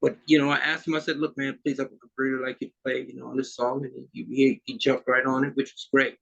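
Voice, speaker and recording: A man in his fifties who grew up in Trinidad chats as an interview guest, laid back and meandering, with lots of "you know" and "man". His voice sits at an ordinary pitch. The sound is dull and a bit lo-fi. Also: flat delivery, fast, gravelly, a bit bright. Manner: easygoing, rambling with many fillers. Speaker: musician guest. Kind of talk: casual interview chat.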